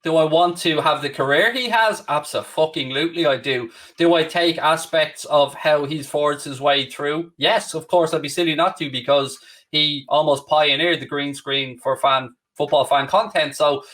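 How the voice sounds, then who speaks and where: A man's voice: on the high side, normal speed, fairly bright tone, far from the mic. A man in his late thirties with a Dublin Irish accent, hosting a podcast and answering questions candidly in a rambling, self-deprecating way. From Ireland. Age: late 30s. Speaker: podcast host. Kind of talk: casual chat.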